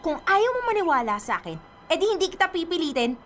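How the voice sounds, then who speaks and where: A woman playing an insistent, superstitious young girlfriend in a Filipino radio drama, with an expressive delivery. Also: very high-pitched, fast, a little hiss or hum. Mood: stubborn, annoyed.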